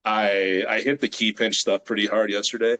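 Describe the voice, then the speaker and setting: Laid-back low-voiced man in his thirties. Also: normal speed. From United States. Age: 30s. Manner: relaxed, rambling with many fillers. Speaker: guest, grip strength athlete. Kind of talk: casual interview chat. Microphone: video call.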